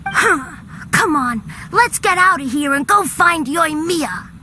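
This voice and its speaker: A woman's voice: sits high, animated, slow, background noise. A woman voicing a stubborn young village child who trusts their father, speaking in an insistent, childlike way. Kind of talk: video game cutscene voice acting. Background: game music.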